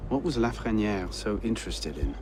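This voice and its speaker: Earnest young assassin, animated delivery. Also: male voice, on the low side, normal speed, background noise. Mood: earnest, urgent. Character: earnest, determined young male assassin, defiant yet respectful. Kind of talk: video game cutscene acting. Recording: music behind the voice.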